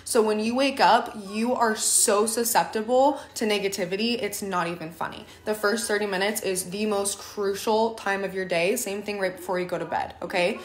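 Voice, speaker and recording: Raspy young woman in an echoey kitchen. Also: mid-range voice, steady tone, moderate pace, hardly any pauses. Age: young adult. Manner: chatty, earnest, lots of "like" and "um". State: hoarse from a lingering cough. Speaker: livestreaming mom and team leader. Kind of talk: casual advice livestream. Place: home kitchen, indoors. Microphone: phone camera at arm's length. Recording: echoey kitchen room sound.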